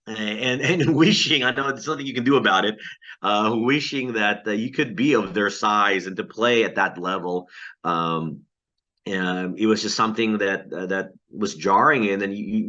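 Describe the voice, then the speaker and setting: A man's voice: lowish voice, bright, forceful tone. A man, a pastor answering interview questions over a video call, thoughtful and hesitant with many fillers. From Philippines. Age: 40s. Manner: hesitant, many ums and uhs, laughs lightly. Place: at home in the Philippines. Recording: dull lo-fi video call audio.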